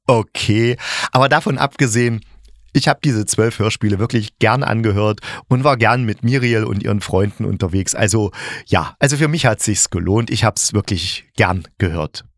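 A man with a fairly low voice talks casually as a solo podcast host. The sound is very dry and close. His delivery is colloquial and chatty, like someone thinking aloud while giving a review. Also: dry recording. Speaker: podcast host and radio drama maker.

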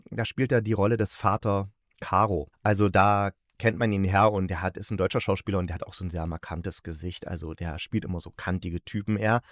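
A man's voice: lowish voice, no room sound. Casual male podcaster with a dry, close sound. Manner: halting, searching for words, self-correcting; playful, jokey. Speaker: podcast host reviewing radio plays. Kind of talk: casual solo review, chatty.

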